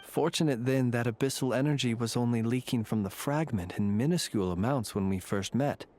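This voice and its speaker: An actor playing a courteous, secretive lantern keeper in a video game, speaking in a formal, measured, wry way. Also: male voice, mid-range voice, a little mellow, close to an everyday voice. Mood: wry, nostalgic. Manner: formal, measured, dryly ironic.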